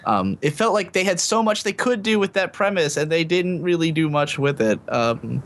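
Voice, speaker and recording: A man's voice: a little high, expressive, normal speed. A man giving casual, opinionated commentary as a podcast panelist on an online call, with some swearing. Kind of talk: casual opinionated chat, profane.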